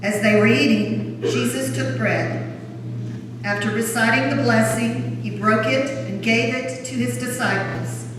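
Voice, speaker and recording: A woman reading as the solemn narrator of a Gospel drama, in formal, measured storytelling. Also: a little high, steady tone, close to an everyday voice. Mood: solemn narration. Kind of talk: scripted dramatic scripture narration. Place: large church during a service. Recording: very echoey church hall, distant mic, noisy.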